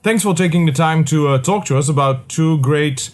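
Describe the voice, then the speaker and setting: A man hosting a podcast interview, relaxed and full of filler words. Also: on the high side, moderate pace. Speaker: podcast host. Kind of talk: casual interview.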